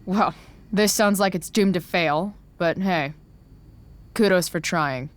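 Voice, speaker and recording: An actress voicing a rebellious teenage daughter in a game cutscene, evasive and sardonic with hesitant delivery. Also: female voice, lowish voice, takes it slowly. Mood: sarcastic. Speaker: video game voice actor. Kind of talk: dramatic game cutscene acting.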